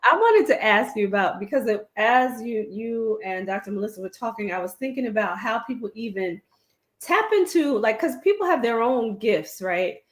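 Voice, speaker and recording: A woman's voice: a little high, expressive, normal speed, echoey room. A woman hosting a talk show, chatting casually and encouraging her guest with frequent affirming murmurs.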